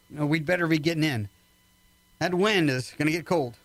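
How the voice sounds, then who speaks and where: A man acting a calm, skeptical military doctor in an amateur radio play. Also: fairly high pitch, moderate pace, close to an everyday voice. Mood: musing, curious.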